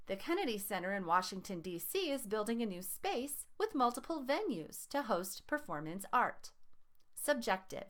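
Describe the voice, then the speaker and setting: A woman with a mid-range voice reads educational text aloud as a teacher would. Her delivery is scripted and deliberate, with definitions and examples carefully enunciated. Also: mid-range voice, a bit bright. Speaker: teacher reading lesson aloud.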